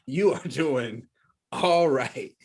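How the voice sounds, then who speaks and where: A man's voice: fairly high pitch, lively intonation, extremely slow, breathy edge. A man from Florida, a podcast guest who jokes and teases the host and laughs a lot. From Tampa Bay, Florida. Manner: joking, playful teasing, laughs a lot. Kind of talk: casual interview chat.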